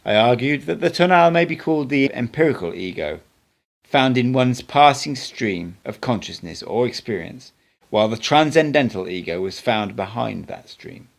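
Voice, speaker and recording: A man's voice: mid-range voice, expressive, normal speed, gravelly. A man reading a book aloud as scripted narration, as an amateur audiobook reader.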